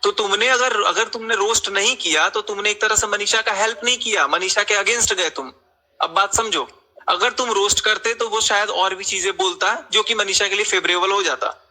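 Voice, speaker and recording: High-voiced male, very sharp and forceful, confrontational debater on a group voice call, hammers questions repeatedly. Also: moderate pace. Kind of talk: heated argument in a group call. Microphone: recorded group voice call.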